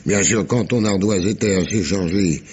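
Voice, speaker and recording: An actor playing a very old, sly sailor in a French radio mystery drama, with a husky, wheezy voice and a halting storyteller's delivery. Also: male voice, sits low, a little mellow. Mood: sly, confiding. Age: 80s. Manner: halting, chuckling, salty storyteller with hums and asides.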